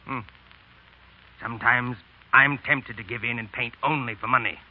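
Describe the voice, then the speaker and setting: Man playing principled middle-aged artist, frank old friend, earnest, deliberate, reproachful, 1930s radio acting, narrow phone-like broadcast sound. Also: medium pitch, fairly even intonation, lightly acted. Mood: earnest, regretful.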